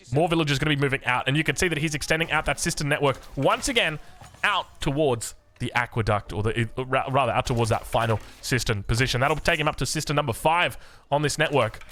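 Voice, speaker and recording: A man's voice: medium pitch, fast, a bit bright. An Australian man giving live strategy game commentary in a chatty, enthusiastic, self-correcting way. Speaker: esports caster of a strategy game.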